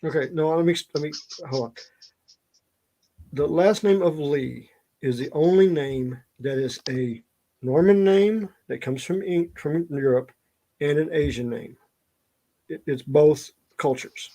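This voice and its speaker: A man's voice: fairly high pitch, unhurried, mellow, poor audio. A middle-aged man with a Southern US accent chatting casually and telling stories in a rambling way. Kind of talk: casual chat, storytelling.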